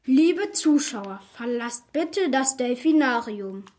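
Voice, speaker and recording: A woman voicing a male zoo director who makes loud, drawn-out announcements in an audiobook story. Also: high-pitched, lively intonation, voice pushed up. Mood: urgent command.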